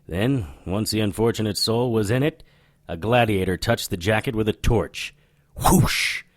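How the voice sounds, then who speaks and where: A man's voice: on the low side, a little slow, dry recording, studio quality, close to an everyday voice. A man playing a cocky, sardonic occult detective in a noir-flavored audio drama, showy and needling. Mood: smug, lecturing.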